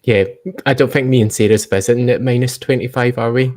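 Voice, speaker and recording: A man with a medium-pitched voice hosts an informal podcast chat. He puts questions loosely and conversationally, rewording them as he goes. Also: steady tone, fast, darkish tone. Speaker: podcast host. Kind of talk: casual interviewing.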